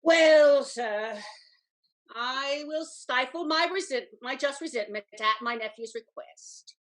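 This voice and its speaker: A woman's voice: high-pitched, takes it slowly. A woman playing a melodramatic, imperious older aristocratic aunt in a period stage comedy, with grand theatrical delivery. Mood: grudging, smitten. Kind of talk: scripted stage comedy acting.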